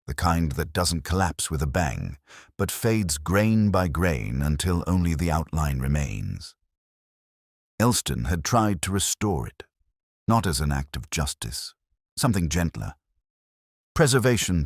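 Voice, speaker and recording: Male actor as reflective detective, very low voice, dry close sound, solemn, measured literary storytelling, radio drama narration. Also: sing-song, dry recording, heavily acted. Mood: melancholy, pensive. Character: weary, reflective older English male police detective, narrating. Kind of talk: scripted first-person detective story narration.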